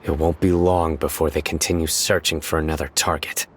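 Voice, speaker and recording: A man playing a stern, stoic young enforcer of justice in a video game, speaking in a measured, solemn way. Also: extremely low pitch, flat delivery, a little hiss or hum. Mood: stern, resolved. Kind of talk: dramatic video game dialogue.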